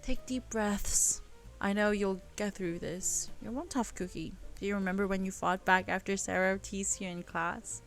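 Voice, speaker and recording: A woman's voice: mid-range voice, fairly even intonation, moderate pace, few pauses, fairly bright tone, faint music behind, natural voice. A woman voicing a protective, caring young friend who talks in a soothing, reassuring way. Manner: soothing, then indignant.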